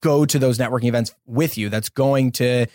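A man's voice: medium pitch, fairly even intonation, fairly quick, warm tone, dry recording, clean studio sound. A man with an American accent, a startup founder explaining things earnestly in a casual interview.

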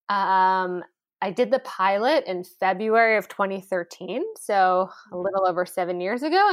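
A woman, founder of a cooking school, talking in a relaxed interview with lots of fillers and lively storytelling. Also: mid-range voice, no room sound, studio quality. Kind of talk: conversational interview.

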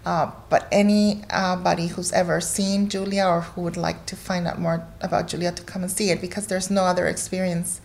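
Woman from guatemala, food historian, lots of vocal fry, kinda low, heartfelt chatty interview. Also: level tone, moderate pace, hardly any pauses, darkish tone, a little hiss or hum. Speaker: cookbook author and food historian, interview guest.

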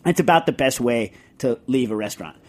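A middle-aged man hosts a podcast in a loose, rambling way. He piles on fillers and restarts as he works through technical points step by step. His pitch sits in an ordinary middle range. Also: animated, brisk, a little mellow. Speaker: podcast host. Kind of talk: casual chat and technical explanation.

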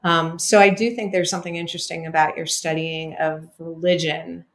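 A woman's voice: on the low side, flat delivery, fairly quick. A woman hosting a podcast interview, chatty and rambling with lots of fillers. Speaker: podcast host. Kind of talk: casual interview chat.

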